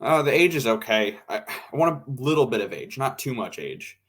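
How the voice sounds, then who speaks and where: Casting: male actor as mid-pitched studio director, groping for words, tactful yet exacting, vintage radio play. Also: sing-song, moderate pace, fairly bright tone. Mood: reassuring. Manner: hesitant, groping for words, repeating himself. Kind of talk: naturalistic old-time radio drama acting.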